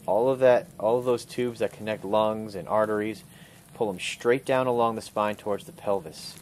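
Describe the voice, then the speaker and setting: A man calmly instructing a student step by step during an outdoor survival skills demonstration, recorded on a camera mic. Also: lowish voice, normal speed, a little hiss or hum. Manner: calm, instructive, talks through each step. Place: outdoors in a wooded clearing.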